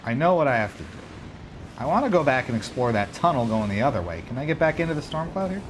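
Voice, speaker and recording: A man giving casual, joking commentary while he plays a racing game on a livestream, reacting out loud to every turn. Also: mid-range voice, big pitch swings, quick pace, background noise. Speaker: livestream gamer.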